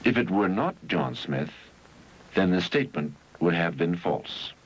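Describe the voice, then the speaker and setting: A man reading scripted educational film narration in a measured, didactic way with deliberate phrasing, on a 1971 film soundtrack. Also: low-pitched, expressive, a little hiss or hum, rough recording.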